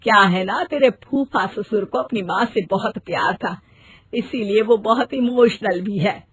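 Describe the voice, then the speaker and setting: A woman's voice: medium pitch, a little slow, slightly rough, dry recording. A woman voicing a fussy, scolding older mother-in-law with a dramatic way of talking.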